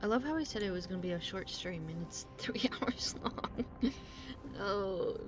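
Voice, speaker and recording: A woman's voice: mid-range voice, animated, normal speed. A woman livestreamer giving casual, joking reaction commentary while she plays a game. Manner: informal, joking, interjecting. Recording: stream voice over loud game music.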